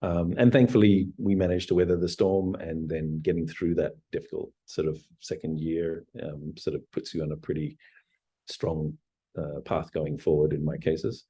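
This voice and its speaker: Low husky-voiced man, hesitant and reflective. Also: flat delivery, normal speed, warm tone. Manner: hesitant, many fillers and restarts, reflective. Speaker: startup CEO guest. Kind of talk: interview answers.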